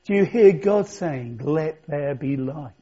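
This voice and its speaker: A man's voice: fairly high pitch, expressive, slow, mellow, husky. A man preaching a sermon as pastor, speaking conversationally and reflectively, asking his listeners questions. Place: church. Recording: dull lo-fi church recording.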